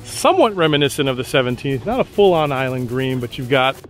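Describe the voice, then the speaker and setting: A man's voice: mid-range voice, big pitch swings, fast talker, faint music behind. A man filming a golf vlog outdoors, chatting enthusiastically about the holes and shots. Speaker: golf vlogger. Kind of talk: casual on-course commentary.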